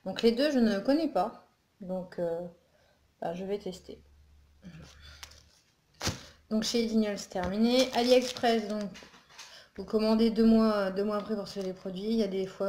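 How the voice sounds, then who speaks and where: A woman from northern France talks through a casual shopping vlog at home, meandering from one aside to the next and filling pauses with hesitations. Her voice sits at an ordinary middle pitch. The room gives it a hollow, echoey sound, with a faint hiss underneath. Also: a little mellow. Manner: chatty, rambling with many fillers and asides. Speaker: vlogger. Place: home room with Christmas tree behind.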